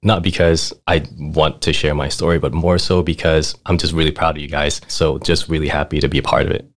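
A man in his thirties from Thailand with a strikingly deep, low voice. He tells his career story in a relaxed, chatty way, laughing often and filling his speech with "like" and "right?". He has the easy, rambling flow of a guest on a podcast. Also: fairly quick. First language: Thai. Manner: laid-back, laughs often, lots of "like" and "right?". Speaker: guest, finance manager. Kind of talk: casual interview storytelling.

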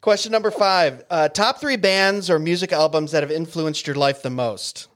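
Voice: medium pitch, fairly bright tone. Upbeat podcast host firing off questions. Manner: enthusiastic, quick affirmations. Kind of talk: upbeat rapid-fire interview questions.

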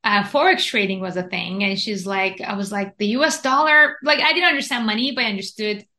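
A woman in her thirties, from Iran, telling her story as a podcast guest in an animated way. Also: medium pitch. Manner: animated storytelling, quick self-corrections. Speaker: guest, investing educator. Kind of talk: casual podcast interview.

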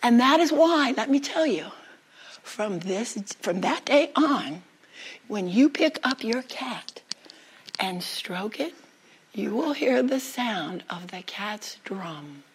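Female storyteller, slightly high husky voice, dramatic deliberate delivery for live oral folktales. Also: lively intonation. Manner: dramatic, deliberate phrasing with pauses.

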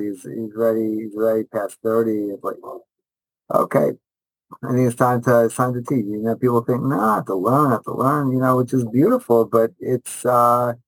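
A man's voice: medium pitch, no echo, rough recording. A middle-aged man, a rabbi and teacher answering interview questions conversationally, enthusiastic and emphatic, repeating phrases and often restarting.

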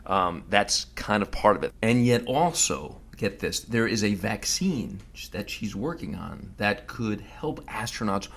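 Low-voiced man narrating a casual story. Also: animated, a little slow, gravelly, hardly any pauses, a bit bright. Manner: conversational, with frequent fillers and restarts. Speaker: host.

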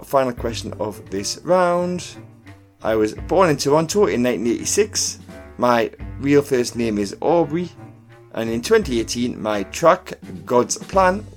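A male quiz host reads questions and clues in a deliberate, announcing style. His mid-pitched voice moves up and down with plenty of animation. Light music plays underneath him. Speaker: pub quiz podcast host. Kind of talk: scripted quiz hosting, reading questions and clues. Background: background music.

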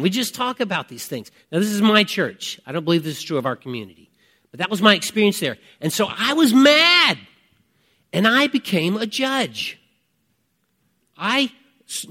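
A man in his fifties preaching a conversational sermon, reflective and emphatic, with deliberate pauses and repeated phrases. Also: on the high side, bright, forceful tone. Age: 50s. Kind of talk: conversational sermon with personal testimony.

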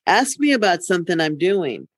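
A woman's voice: fairly low pitch, big pitch swings, moderate pace, breathy edge, dry recording, studio quality. A middle-aged woman podcast co-host chatting casually in a candid, rambling way. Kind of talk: casual chat.

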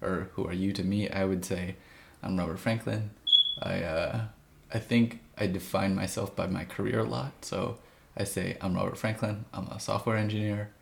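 A man's voice: low voice, steady tone, normal speed, vocal fry, few pauses. A man in his twenties hosting a casual family interview as the interviewer. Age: 20s. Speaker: podcast host, interviewer. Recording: noticeable background noise.

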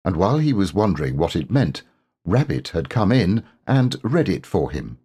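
A man reading a children's story aloud as an audiobook narrator, expressive and measured in his storytelling. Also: sits low, husky.

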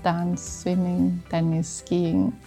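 A woman's voice: on the low side, completely flat delivery, takes it slowly, gravelly, warm tone, slightly rough, faint music behind. A middle-aged Italian woman speaking English with an Italian accent as an interview guest, explaining things conversationally and searching for words now and then. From northern Italy. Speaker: interview guest, sports medicine doctor.

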